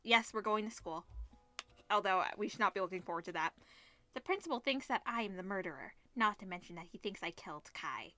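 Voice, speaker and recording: A woman's voice: medium pitch, animated, fairly quick, bright, forceful tone. A woman reading visual novel text aloud as host, dropping in informal asides and reactions. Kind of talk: reading game text aloud with casual commentary.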